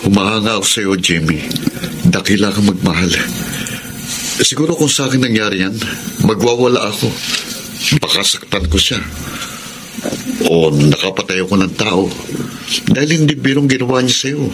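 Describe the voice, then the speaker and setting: An actor with a Filipino accent playing a loyal, blunt best friend in a radio drama, speaking in a grave, frank, emphatic way. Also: male voice, lowish voice, normal speed, long pauses, natural voice. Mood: indignant admiration. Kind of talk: Tagalog radio drama acting. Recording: very noisy radio drama track.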